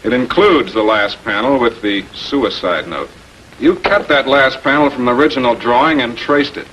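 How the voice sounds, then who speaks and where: An American actor playing a shrewd public prosecutor in 1940s television crime drama style, questioning in a deliberate, authoritative way. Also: male voice, mid-range voice, a bit bright, highs cut off, lightly acted. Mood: confident, accusing. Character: shrewd, composed male public prosecutor confronting murder suspects. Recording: noisy 1940s film soundtrack.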